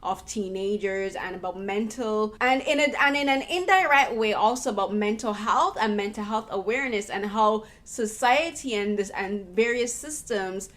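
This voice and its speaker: A woman's voice: medium pitch, expressive, normal speed, few pauses, fairly bright tone. Earnest woman hosting an interview. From Barbados. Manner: earnest, thoughtful, restarts often mid-sentence. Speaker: podcast host and interviewer. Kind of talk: casual conversational film interview.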